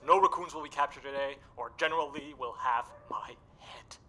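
A man's voice: fairly high pitch, lively intonation, normal speed, bright, forceful tone, a little hiss or hum. A man voicing a blustering veteran raccoon sergeant who barks military orders. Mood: commanding. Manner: barking military orders, boastful.

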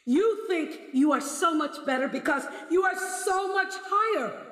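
A woman's voice: extremely high pitch, lively intonation, a little slow, a bit bright, heavily acted. A woman playing a stern, scornful Christmas spirit in a radio drama, declaiming and taunting. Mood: mocking, scornful. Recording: echoey hall reverb with music behind.

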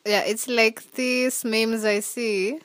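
A woman co-hosting a casual chat, relaxed and teasing, with soft laughter. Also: on the high side, slow, no echo. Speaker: podcast co-host.

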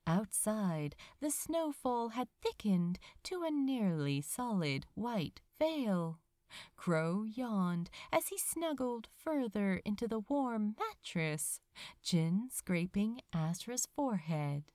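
A woman reads scripted fiction aloud like an audiobook. Her delivery is unhurried and measured, with widely varied, expressive intonation. The recording is dry and close, at a medium pitch. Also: no room sound. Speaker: fan fiction audiobook reader. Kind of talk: scripted story narration.

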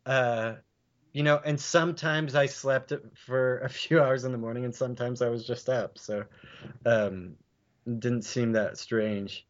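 A man in his forties with a bass voice, chatting and reminiscing with old friends, laughing often and restarting his sentences. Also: fairly even intonation, measured pace, highs cut off. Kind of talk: casual chat.

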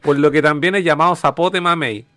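A man's voice: a little high, fairly even intonation, a little fast, bright, forceful tone, no room sound. A man with a Chilean accent chatting casually as a co-host reacting to a video, joking with plenty of slang and swearing. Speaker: show co-host.

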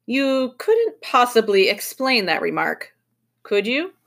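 A woman reads as a courteous male professor in a children's storybook. He speaks brightly and decisively, with patient good manners and a fairly high pitch. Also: big pitch swings, slow pace, heavily acted.

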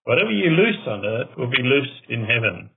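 A man reading scripture aloud in a measured, formal way. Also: mid-range voice, very animated, vocal fry, husky. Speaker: scripture reader, religious brother. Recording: muffled, phone-like narrow band.

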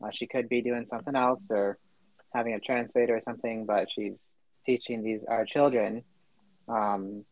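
Man, missionary; earnest, measured, monotone, low pitch; dull, dry recording. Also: no room sound. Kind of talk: casual conversation.

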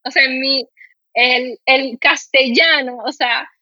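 A woman's voice: sits high, slow, dry recording, highs cut off. A woman from the Dominican Republic with a mild Dominican Spanish accent, hosting a casual podcast and telling indignant stories full of fillers. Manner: animated, indignant, storytelling with many fillers. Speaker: podcast host.

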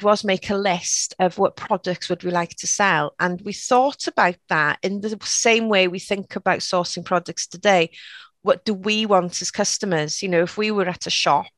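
A woman's voice: medium pitch, moderate pace, a bit bright, dry recording. Chatty Welsh woman, dry close sound. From Wales. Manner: chatty, frequent restarts and "you know". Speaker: shop owner guest. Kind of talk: casual interview chat.

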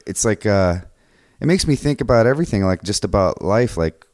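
Deep-voiced man hosting a chatty podcast. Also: animated, brisk, darkish tone, dry recording, studio quality. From Washington State. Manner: rambling, swears casually, says "man" and "dude". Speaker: podcast host. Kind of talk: casual interview chat. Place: photo studio by the water.

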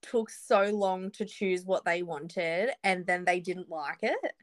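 A woman's voice: fairly low pitch, takes it slowly, dry recording, studio quality. A woman in her late twenties co-hosting a casual podcast, giggly with eager reactions. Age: 20s. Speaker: podcast co-host. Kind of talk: casual chat.

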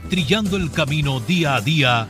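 A man's voice: on the high side, animated, normal speed. A man reading a scripted radio promo with an emphatic, slogan-like delivery. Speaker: radio announcer. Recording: voice over loud music bed. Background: music.

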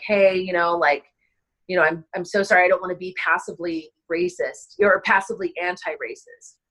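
A woman's voice: on the low side, fairly bright tone, rough recording. A woman guest in an interview, a wedding planner, talking in an impassioned, rambling way with lots of fillers, sounding stressed and overwhelmed. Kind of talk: emotional conversational interview.

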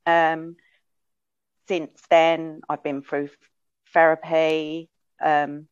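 A woman with a lowish voice talks very slowly and softly, pausing on many ums. The audio is muffled and lo-fi, with a dry, close sound and no room echo. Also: little pitch movement, clean tone. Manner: soft, hesitant, frequent ums. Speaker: guest. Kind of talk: personal testimony in a podcast.

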